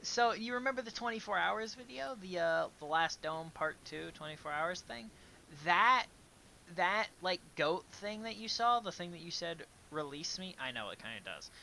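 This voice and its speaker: A man's voice: high voice, animated, normal speed, creaky, bright, forceful tone, slight background noise. A man hosting a livestream, chatting casually and bluntly with plenty of swearing. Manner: profane, blunt, exclamatory. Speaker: livestream host. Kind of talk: casual reaction stream chat with read-aloud passages.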